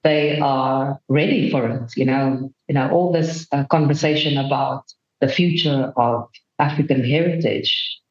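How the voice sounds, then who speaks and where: A woman speaks as an interview guest in an earnest, measured way. Her voice carries a noticeable room echo. The recording sounds a little muffled and lo-fi. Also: a bass voice, a little mellow. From Namibia. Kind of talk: reflective interview answer. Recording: roomy, dull remote recording.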